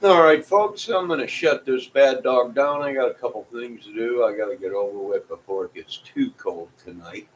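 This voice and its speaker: A man's voice: mid-range voice, normal speed, hardly any pauses, rough recording. A middle-aged or older man chatting casually to viewers in a folksy, rambling way, often repeating himself. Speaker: vlogger. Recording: clear background noise.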